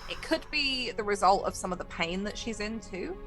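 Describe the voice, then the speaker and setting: A woman's voice: mid-range voice, expressive, normal speed, fairly bright tone, soft background music, poor audio. A woman running a tabletop game, narrating scenes off the cuff in a conversational, descriptive way. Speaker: game master.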